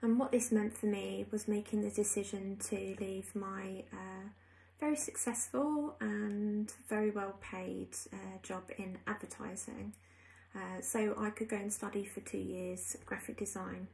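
A woman in her early forties shares a personal story, speaking straight to camera. Her mid-pitched voice stays quite flat and often dips into creaky fry. She talks reflectively, with hesitant pauses as she goes. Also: poor audio. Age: early 40s. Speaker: freelance graphic designer sharing a personal story. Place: small indoor room at home.

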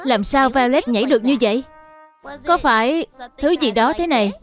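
Casting: woman as eager little monkey prince, high boyish voice, earnest and impressionable, children's cartoon, music underneath. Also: expressive, moderate pace. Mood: musing, curious. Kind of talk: dubbed children's cartoon acting.

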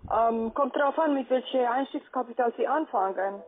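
A woman working as a call-center agent, giving a hesitant sales pitch. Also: normal speed. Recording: tinny narrow phone line.

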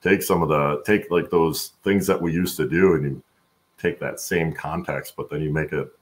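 A man with an extremely low, gravelly voice talks as a guest on a video call. He answers in a conversational way, like an experienced executive explaining his field. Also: steady tone, normal speed. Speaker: podcast guest, supply chain executive. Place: indoor room at home, on a video call.

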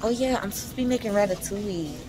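A young adult American woman chatting casually to camera as she shops, informal and slangy, thinking out loud. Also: medium pitch, fast, background noise. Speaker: vlogger. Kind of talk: casual chat to camera while shopping. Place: indoors, large supermarket. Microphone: phone or camera at arm's length. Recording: music playing behind the voice. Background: loud music.

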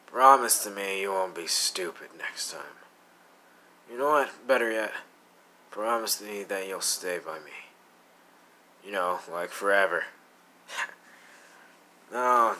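Man doing a brooding young villain, deep voice, bright edge, slow drawl, teasing. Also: creaky, exaggerated voice. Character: scarred brooding young male villain, possessive. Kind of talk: fan audio roleplay, ASMR.

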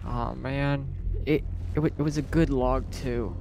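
A man's voice: mid-range voice, fairly even intonation, a little slow, vocal fry, background noise. A man playing a hapless, defensive novice lumberjack who speaks hesitantly and repeats words, in improvised game roleplay. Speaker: roleplay gamer. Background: game sounds and music.

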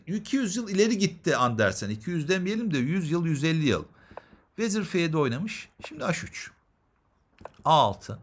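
Animated man explaining chess moves. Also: a little high, slightly rough. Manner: explanatory, addresses viewers with rhetorical questions. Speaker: chess instructor, commentator. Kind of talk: chess game analysis commentary.

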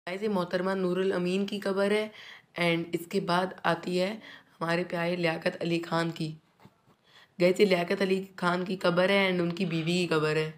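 A man's voice: high voice, level tone, moderate pace. Male vlogger, casual tour-guide chatter, phone-camera audio in a marble crypt. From Pakistan. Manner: chatty tour guide, keeps addressing viewers as "guys". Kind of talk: casual vlog tour narration.

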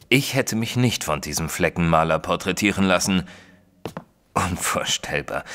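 A man playing a proper, opinionated Victorian gentleman who tells his story in a German audio drama, measured and expressive. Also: low voice. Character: proper Victorian gentleman narrator, opinionated, easily shocked companion. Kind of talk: dramatised first-person audiobook narration in German.

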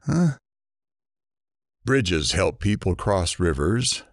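A man acts out a weary morning radio host in an audio drama. His voice is deep and swings expressively, with dry sarcasm and self-mockery, recorded close and dry. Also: big pitch swings, very slow, no echo, full character voice. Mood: dry, exasperated. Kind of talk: scripted audio drama broadcast.

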